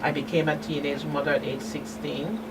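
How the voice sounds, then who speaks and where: A middle-aged woman giving candid personal testimony as an interview guest, speaking haltingly with emphatic turns. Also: sits low, level tone. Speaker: guest, survivor and advocate. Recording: distant mic, noisy room hum.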